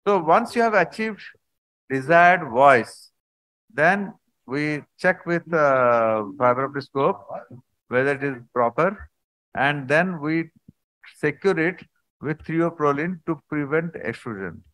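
A man, a surgeon, explaining surgical video clips in a halting conference talk over a video call. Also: on the high side, animated, fairly bright tone, no echo, low-quality recording. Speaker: surgeon presenting cases.

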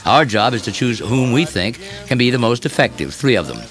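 A man's voice: medium pitch, very animated, fairly quick, a bit bright. Emphatic radio newsman with music underneath. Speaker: radio news reporter and commentator. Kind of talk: scripted radio editorial.

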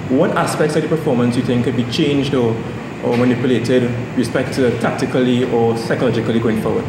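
A man's voice: medium pitch, fairly even intonation, brisk. A man putting a wordy tactical question as a reporter at a press conference. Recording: noisy, reverberant room.

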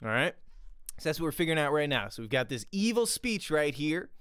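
A man's voice: fairly high pitch, big pitch swings, a little fast, a bit bright, clear, resonant tone, dry recording. A man in his thirties giving a casual, conversational livestream lesson as an acting teacher, close on a studio microphone in a small room. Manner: conversational, checks in often with "right?". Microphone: close studio microphone with pop filter, headphones.

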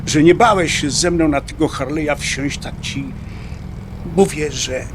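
An actor playing a middle-aged motorcyclist, an anxious, joking atheist who talks in a blunt, colloquial way in an audio drama. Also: male voice, a little high, a little slow, background noise, lightly acted. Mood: earnest, afraid. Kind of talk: dialogue acting in an audio drama.

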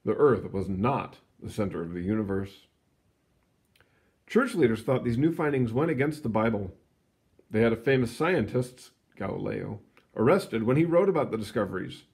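A man reading a children's science book aloud in an expressive storytelling manner, stretching words for emphasis, with casual asides. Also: lowish voice. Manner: animated, storytelling delivery with drawn-out emphasis. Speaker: read-aloud reader.

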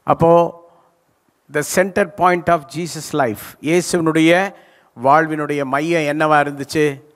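Older male pastor, higher voice, slow deliberate sermon, handheld mic, long church reverb. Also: fairly even intonation. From South India. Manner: emphatic preaching, stretching key words, calls for response. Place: large church stage. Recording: live church hall reverb.

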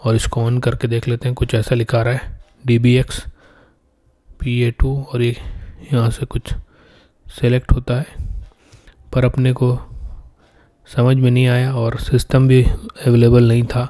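Guy doing a casual unboxing, mellow voice, pretty flat, explains stuff step by step. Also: mid-range voice, measured pace. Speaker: product unboxing presenter.

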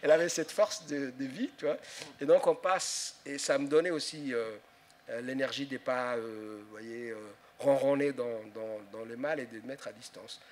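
A man's voice: medium pitch, steady tone, normal speed, a little hiss or hum. A middle-aged man, an author on a festival panel, telling stories with many hesitations, into a handheld mic before a live audience. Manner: storytelling, hesitant with many fillers and restarts. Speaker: author guest. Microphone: handheld microphone.

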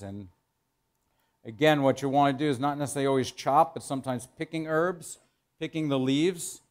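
A middle-aged man, a chef teaching in a kitchen, explaining as he works in an informal, halting way and repeating phrases for emphasis. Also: mid-range voice, darkish tone. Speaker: chef giving a cooking demonstration. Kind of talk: instructional cooking demo, explaining while working. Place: indoor professional teaching kitchen.

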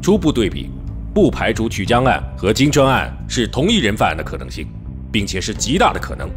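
A man playing a serious, dogged middle-aged police detective in an audio drama, speaking in a measured, firm way. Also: lowish voice, huge pitch swings, music in the background. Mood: formal, businesslike. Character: dogged veteran police detective leading a murder case. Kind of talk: Chinese audio drama acting.

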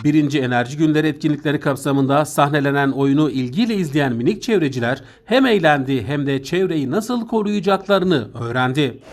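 A man delivers a scripted news voice-over at a rapid clip. His voice sits slightly high for a male narrator. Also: expressive.

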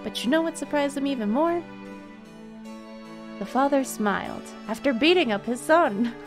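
A woman's voice: sits high, lively intonation, normal speed, music in the background, pitched above the performer's own voice. A woman voicing a reflective, wistful storyteller in a video game.

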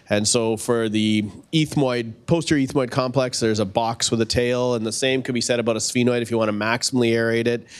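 Methodical male surgeon explaining. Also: mid-range voice. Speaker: panelist surgeon. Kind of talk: conference panel talk. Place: conference room. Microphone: handheld mic.

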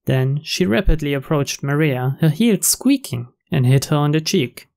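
A man's voice: a little high, animated, takes it slowly, a little mellow. A man reading scripted story narration aloud. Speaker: reader of on-screen story text. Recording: clear rain noise behind.

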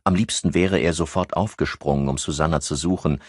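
A man with a husky voice narrating a crime novel as an audiobook. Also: rumbling low, fairly quick, dry recording, studio quality.

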